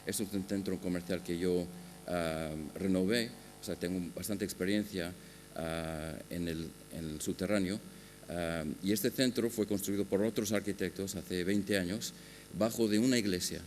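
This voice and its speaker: A man giving a conference talk on a panel, speaking haltingly with many filler sounds and restarts. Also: low voice, fairly even intonation, darkish tone, soft background music. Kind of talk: conference presentation. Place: large conference hall. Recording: long hall reverb with faint hum.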